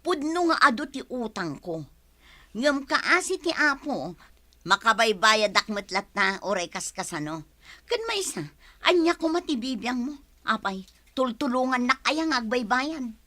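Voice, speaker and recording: Embattled mother, bright voice. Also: fairly high pitch, very animated, measured pace, heavily acted. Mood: indignant. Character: embattled wife and mother, quarrelsome yet forgiving. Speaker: radio drama actress. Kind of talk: Philippine radio drama acting.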